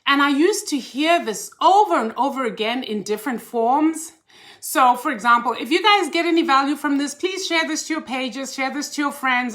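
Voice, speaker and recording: A woman's voice: sits high, a bit bright. A woman from Zimbabwe, a life coach hosting a casual live stream and chatting animatedly with her viewers, laughing easily. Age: older.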